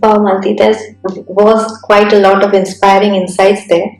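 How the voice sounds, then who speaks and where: A woman's voice: medium pitch, flat delivery, measured pace, echoey room, faint music behind, low-quality recording. A woman hosting an interview, prompting her guest with encouraging questions. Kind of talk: interview host.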